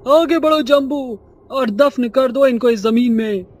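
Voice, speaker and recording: A man's voice: very high-pitched, a little slow, a little mellow, pitched above the performer's own voice. A man voicing a vengeful young female witch ghost in a cartoon, speaking in a commanding, menacing way.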